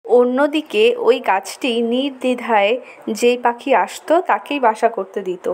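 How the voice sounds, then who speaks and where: A woman's voice: fairly high pitch, moderate pace. A woman narrating a scripted children's story. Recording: loud birdsong behind the narration.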